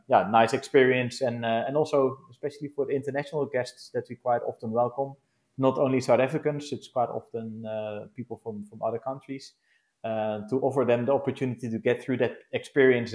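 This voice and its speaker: A man with a Dutch accent, a glamping business founder answering interview questions in a casual, hesitant way, often pausing to find words. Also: mid-range voice, steady tone, a little mellow. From Netherlands. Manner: hesitant, searching for words with many pauses.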